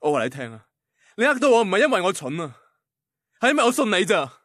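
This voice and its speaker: An actor playing an easygoing but insecure young boyfriend in a radio drama, speaking Hong Kong Cantonese. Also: male voice, sits high, very animated, fast talker, a bit bright, dry recording, studio quality, heavily acted. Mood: angry, accusing. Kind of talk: radio drama acting and first-person narration.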